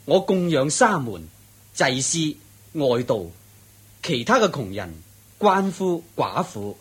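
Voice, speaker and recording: A man with a Hong Kong Cantonese accent playing a wealthy, pious, courteous householder in a radio drama, in a husky voice. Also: fairly high pitch, big pitch swings, a bit bright, exaggerated voice. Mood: courteous, humble. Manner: courteous, measured.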